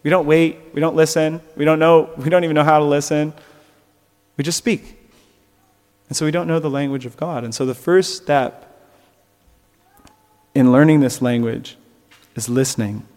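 A man's voice: on the high side, fairly even intonation, moderate pace, long pauses, a little mellow, in a large hall. A man preaching a sermon in an earnest way, rising at times to emphatic peaks. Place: church.

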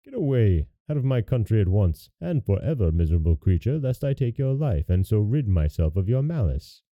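A man's voice: fairly low pitch, sing-song, a little fast. A man voicing a bold young king in a storybook, indignant, commanding and scornful. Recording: roomy, narrow phone-like sound.

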